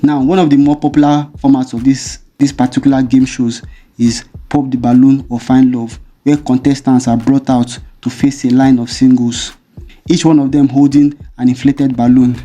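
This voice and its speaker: A man hosting a commentary channel, reading a measured, explanatory script. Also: medium pitch, level tone, warm tone. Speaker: video essay host.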